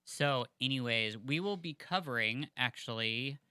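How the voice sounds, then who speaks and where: A man rambles through casual podcast chatter, dropping fillers as he goes. His voice is strikingly bright and smooth, recorded close and dry. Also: mid-range voice, measured pace, dry recording. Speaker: podcast co-host.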